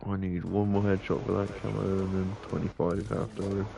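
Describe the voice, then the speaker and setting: Male gamer, 19, low-ish voice, dry deadpan asides, laid-back. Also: normal speed, gravelly, a little hiss or hum. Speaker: streamer and co-host. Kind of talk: casual gaming stream banter.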